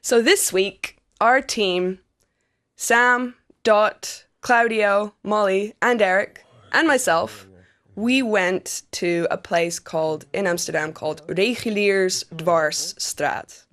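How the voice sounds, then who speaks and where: A woman's voice: mid-range voice, takes it slowly, fairly bright tone. A woman hosting a show in a casual, enthusiastic way, talking to viewers and guests. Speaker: TV show host and interviewer. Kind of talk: casual presenting to camera.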